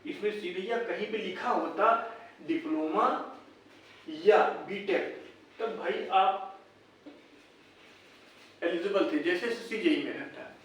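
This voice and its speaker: High-voiced man in a very echoey room. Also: slow pace, pauses often, a bit bright, a little hiss or hum. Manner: blunt, argumentative, rhetorical questions. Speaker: YouTube exam-update host. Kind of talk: informal opinionated commentary. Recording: echoey room, distant mic.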